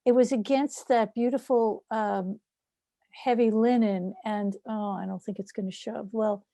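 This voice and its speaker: A woman's voice: fairly high pitch, steady tone, measured pace, mellow, dry recording. A woman talking casually about her handiwork in a group conversation. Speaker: group participant. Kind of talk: casual chat.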